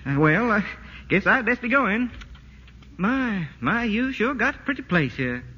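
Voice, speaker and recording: A man's voice: high voice, sing-song, a little slow, pushed and bright, husky, exaggerated voice. An actor playing an honest, folksy country tradesman, homespun and laconic, in 1940s radio comedy style. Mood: kindly. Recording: muffled, noisy, echoey radio broadcast.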